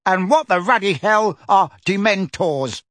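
A man voicing a blustering, bullying middle-aged uncle in an audiobook, loud and indignant. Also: a little high, sing-song, slow pace, husky, dry recording, studio quality, pitched above the performer's own voice, heavily acted.

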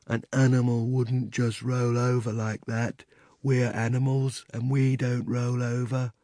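A man voicing a placid, slow-witted storybook bear in a ponderous, simple manner. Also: medium pitch, little pitch movement, mellow, no room sound, lightly acted. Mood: slow, dubious.

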